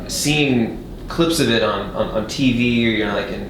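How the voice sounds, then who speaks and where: A man reminiscing casually, thinking aloud in a halting way. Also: medium pitch. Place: indoor radio studio. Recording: roomy studio recording with background noise.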